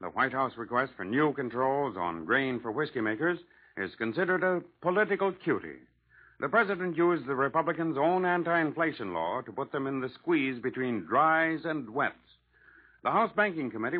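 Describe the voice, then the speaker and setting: A man's voice: mid-range voice, expressive, normal speed, breathy edge. Wry American newsman on tinny vintage radio. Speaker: radio news correspondent. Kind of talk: 1940s radio political news report. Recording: tinny narrowband 1940s radio recording.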